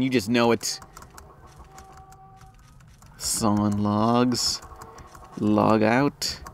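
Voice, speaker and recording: Slow-paced sarcastic man doing game commentary. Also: medium pitch. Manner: sarcastic, profane. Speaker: let's play commentator. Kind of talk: casual joking game commentary, reading on-screen text aloud. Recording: clear background noise.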